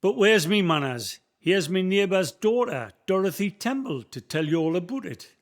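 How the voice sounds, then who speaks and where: A man's voice: sits high, big pitch swings, normal speed. A man playing a folksy old Northumbrian guide. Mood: storytelling. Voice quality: folksy storyteller, broad dialect words. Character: old Northumbrian man guiding through time. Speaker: guide character Billy. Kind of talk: community radio play acting.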